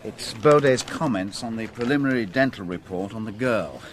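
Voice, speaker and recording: Radio play detective male actor: deep voice, very varied intonation, thoughtful, persistent, tentative reasoning. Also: animated, moderate pace, lightly acted. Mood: hesitant, persistent. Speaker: police detective. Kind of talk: radio drama dialogue.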